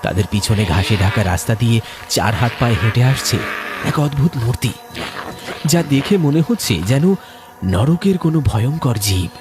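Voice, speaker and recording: A man tells a scripted horror tale with theatrical suspense. His mid-pitched voice rises and falls animatedly over prominent music. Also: expressive, moderate pace. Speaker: narrator of a horror story. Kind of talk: dramatic scripted horror narration.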